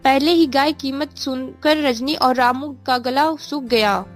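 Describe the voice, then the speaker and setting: High-pitched woman narrating a children's story. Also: measured pace, faint music behind.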